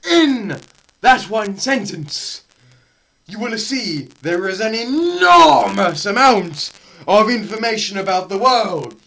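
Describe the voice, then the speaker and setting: A young man giving a dramatised science lecture, theatrical, swinging between shouts and hushed murmurs, with pauses and repetition for effect. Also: sits high, gravelly, rough recording. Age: young adult. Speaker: presenter. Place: small bare indoor room.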